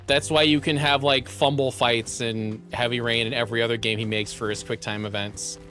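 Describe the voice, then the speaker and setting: A young man streaming live, chatting casually; he is excitable, laughs often and is losing his voice. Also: mid-range voice, a little fast, faint music behind. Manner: excitable, laughs often, repeats words in bursts.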